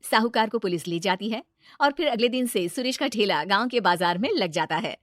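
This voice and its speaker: A woman's voice: mid-range voice, expressive, brisk, no room sound, studio quality. A woman narrating a scripted moral story.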